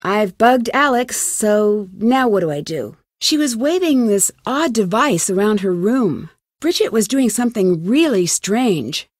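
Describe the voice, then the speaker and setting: A woman voicing a young amateur detective in a video game, inquisitive and determined, with a clear, earnest, questioning delivery. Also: mid-range voice, animated, a little slow, hardly any pauses, dry recording, studio quality. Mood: matter-of-fact. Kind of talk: scripted game dialogue, isolated lines.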